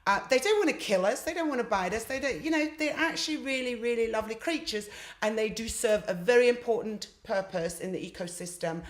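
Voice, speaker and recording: Woman, middle-aged, chatty, upbeat, self-deprecating, reverberant room. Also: medium pitch. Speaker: snake handler and reptile show presenter. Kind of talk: casual interview answers.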